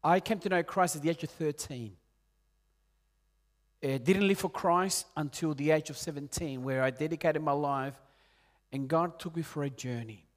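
Earnest Peruvian-born preacher, late forties. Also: male voice, normal speed. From Peru. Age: 40s. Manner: earnest, rises loud on key points and drops soft and low. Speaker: pastor. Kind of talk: sermon.

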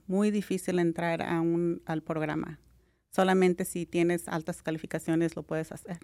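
A middle-aged woman with a Mexican accent, a nurse talking as a guest in a relaxed interview, often filling pauses with "am" and dropping in English words. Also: lowish voice, no room sound, studio quality. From Mexico (Jalisco). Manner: relaxed, many "am" fillers, mixes English words. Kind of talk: casual interview.

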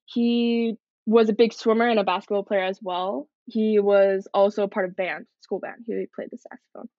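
A woman's voice: medium pitch, fairly even intonation, moderate pace, no echo, lo-fi sound. A young woman from Canada, a military cadet, answering interview questions in an earnest, reflective way. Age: young adult.

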